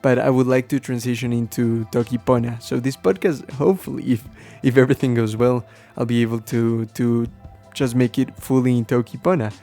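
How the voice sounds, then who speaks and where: A man whose first language is Spanish, explaining things casually and haltingly as a podcast host, thinking aloud as he goes. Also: mid-range voice, steady tone, vocal fry, warm tone, soft background music. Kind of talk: casual unscripted explaining to listeners.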